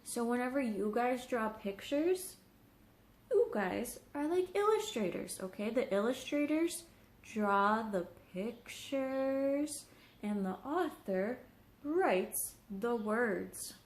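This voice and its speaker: A woman's voice: on the high side, expressive, slow. A woman teacher reading a story aloud to young children, explaining things simply and checking in with questions. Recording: roomy, echoing home recording.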